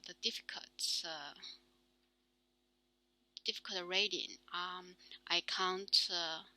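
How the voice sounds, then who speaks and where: Woman, Chinese accent, slow, halting, lots of ums, bright voice, dry sound. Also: on the low side, fairly even intonation, no echo, rough recording. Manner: halting, many fillers and restarts. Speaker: solo talk show host. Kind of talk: casual spoken practice monologue.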